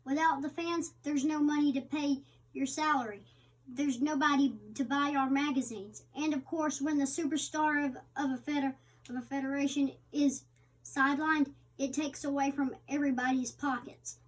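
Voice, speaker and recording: High-voiced woman reading aloud, off-mic. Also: fairly even intonation. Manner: halting reading with stumbles. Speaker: co-host reading the interviewer's part. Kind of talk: reading a magazine interview aloud. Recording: distant microphone.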